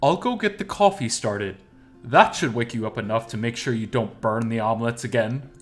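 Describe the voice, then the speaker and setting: A man's voice: medium pitch, normal speed, faint music behind, natural voice. A man voicing an affectionate, cheerful male wolf boyfriend in a visual novel, with playful teasing.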